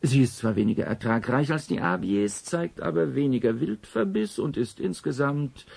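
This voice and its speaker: A man's voice: mid-range voice, lively intonation, moderate pace, gravelly, a little mellow, slightly rough. A man playing a courteous, knowledgeable forestry expert in a German radio drama, explaining things precisely. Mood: calmly informative.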